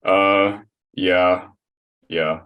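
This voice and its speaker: A man chatting casually as a podcast guest, with a hesitant, halting way of talking. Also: low voice, fairly even intonation, clean tone, muffled recording. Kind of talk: casual chat.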